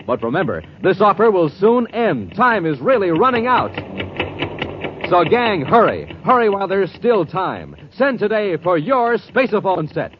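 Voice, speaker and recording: A man announcing in scripted 1950s radio style, with urgent, punchy delivery and booming flourishes. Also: breathy edge. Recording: muffled, noisy old radio recording.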